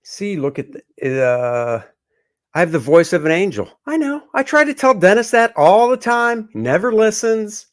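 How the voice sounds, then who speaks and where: Joking man with a high voice. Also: sing-song, a little slow. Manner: rambling, joking, many fillers. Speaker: comic artist co-host. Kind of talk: casual livestream chat.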